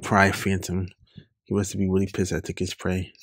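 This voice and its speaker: A man reading webcomic dialogue aloud in an informal way, loosely acting out the lines, with a gravelly, husky voice. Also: sits low, monotone, normal speed, dry recording, clean studio sound. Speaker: online comic reader.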